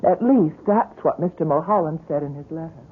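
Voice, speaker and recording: A woman's voice: deep voice, brisk, narrow, tinny audio, slight background noise, lightly acted. An actress playing a devoted, encouraging woman who works at a gallery, in old radio drama style. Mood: reflective narrating. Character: devoted, encouraging woman gallery worker recounting her painter friend's story. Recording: thin band-limited old radio broadcast.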